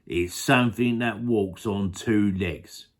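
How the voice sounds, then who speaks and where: Man as a sly, low-voiced greedy crocodile. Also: slow. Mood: sly, gloating. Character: sly, greedy, gloating male enormous crocodile.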